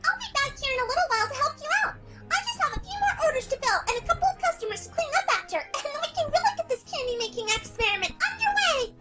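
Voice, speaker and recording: A tiny, extremely high cartoon pony voice. This young female pony is chirpy, sunny and eager, with music clearly playing behind. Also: big pitch swings, quick pace, sharp tone, exaggerated voice. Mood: bubbly.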